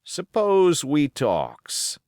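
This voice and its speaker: A male reader puts on a wounded old-time pirate from a storybook, sly and rough. The sailor's folksy drawl swings widely in pitch as he wheedles and bargains, in a dry, close recording. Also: huge pitch swings, very slow, a little mellow, no room sound, heavily acted. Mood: sly, wheedling. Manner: drawled, folksy sailor speech with rustic grammar.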